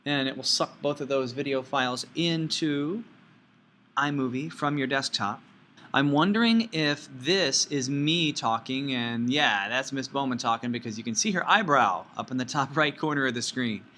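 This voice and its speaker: Bright-voiced man teaching a tutorial. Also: mid-range voice, normal speed, a little hiss or hum. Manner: folksy, playful asides and sound words. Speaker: teacher giving a software tutorial. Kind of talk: informal step-by-step screencast instruction.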